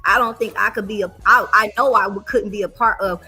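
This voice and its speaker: A woman's voice: medium pitch, steady tone, a little fast, bright, forceful tone, faint music behind. A woman in her forties arguing her point in a heated casual debate, emphatic and profane, repeating phrases for stress. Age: 40s.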